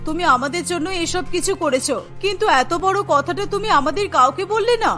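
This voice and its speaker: A woman's voice: extremely high pitch, animated, fairly quick, bright, forceful tone, exaggerated voice. A woman voicing a caring, firm older mother-in-law in a cartoon, earnest and admonishing, practical about money. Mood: worried, firm. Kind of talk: Bengali cartoon dialogue. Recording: music behind the voice. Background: music.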